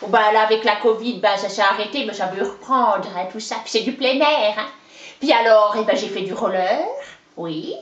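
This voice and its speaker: A woman's voice: medium pitch, normal speed, natural voice. A woman playing a chatty, boastful older countrywoman with a Franc-Comtois accent, rambling in a folksy way. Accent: Franc-Comtois (Haut-Doubs). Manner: folksy, rambling, peppered with "hein" and "oh ben". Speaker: interviewee. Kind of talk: comic character interview. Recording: roomy, dull lo-fi sound.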